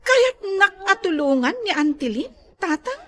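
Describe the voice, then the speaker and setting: A woman's voice: very high voice, very animated, a little slow, fairly bright tone, heavily acted. A woman playing a naive, eager young single mother, plaintive and questioning, in an Ilocano radio drama. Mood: hopeful, questioning.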